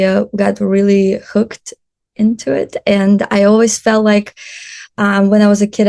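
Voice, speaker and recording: A woman's voice: mid-range voice, darkish tone. A woman in her thirties, born in Russia with Russian as her first language, chatting casually as a podcast guest, laughing often and making small grammar slips. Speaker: podcast guest, photographer and traveler. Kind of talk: casual interview chat.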